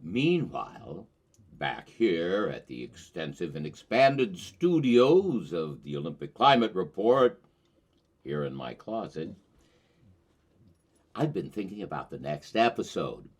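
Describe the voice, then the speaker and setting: A man hosting a show, delivering a scripted commentary monologue in deliberate, rhetorical phrases with dramatic emphasis. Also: deep voice, sing-song. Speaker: host of a climate commentary show.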